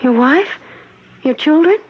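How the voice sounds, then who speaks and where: A woman's voice: high voice, expressive, unhurried. An actress playing a timid, innocent young woman, polite and tentative, in an old-time radio drama. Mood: shocked, sympathetic. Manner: soft-hearted, tentative, polite. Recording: muffled, noisy vintage radio broadcast.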